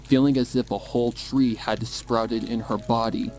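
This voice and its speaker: A man reading a dark horror story as a dramatic scripted narration. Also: mid-range voice, steady tone, normal speed, poor audio. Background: music.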